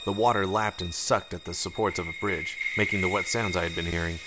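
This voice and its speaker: A man with a low voice narrates a story from a script in audiobook fashion. Music plays clearly underneath his reading. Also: fairly even intonation, brisk, low-quality recording.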